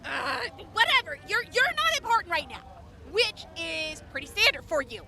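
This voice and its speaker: High-pitched, blunt wasteland medic woman acting. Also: sing-song, normal speed, pushed and bright, heavily acted. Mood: irritated. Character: sardonic, blunt young female medic of a wasteland caravan radio. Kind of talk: audio drama acting.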